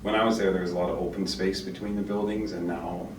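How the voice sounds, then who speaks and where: A man's voice: sits low, flat delivery, quick pace. A man in his fifties, answering interview questions in a thoughtful, hesitant way. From Canada. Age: 50s. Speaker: guest, co-founder. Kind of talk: casual interview. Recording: roomy, distant mic, background noise.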